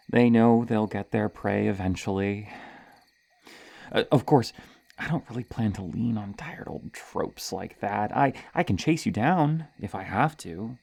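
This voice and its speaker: A woman's voice: extremely low pitch, gravelly, warm tone, dry recording, exaggerated voice. A woman playing a sadistic, playful serial killer in an ASMR horror roleplay, teasing and menacing, with a hesitant stammer and drops into whispers.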